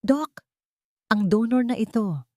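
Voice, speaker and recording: A woman's voice: fairly high pitch, animated, takes it slowly, mellow, no echo, studio quality, pitched above the performer's own voice. A woman voicing an earnest, serious female hospital nurse in an audio drama, with a sincere, grave manner. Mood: urgent astonishment.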